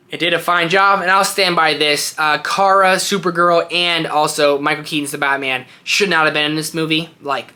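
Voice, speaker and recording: A man's voice: on the high side, bright, forceful tone. A man hosting a film review in a casual, opinionated style, rambling informally with emphatic points. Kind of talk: casual opinionated movie ranking to camera.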